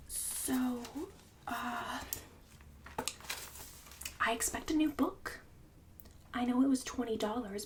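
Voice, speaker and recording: Lady doing a condescending karen mom, whispery asmr, kinda high voice, fussy n bossy, hissy noisy audio. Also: far from the mic, close to an everyday voice. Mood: scolding, snobbish. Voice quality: breathy whisper and soft speech. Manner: passive-aggressive, bossy, fussy, addressing people one by one. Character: snobbish, condescending middle-class mom running a book club. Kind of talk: ASMR roleplay, mostly whispered.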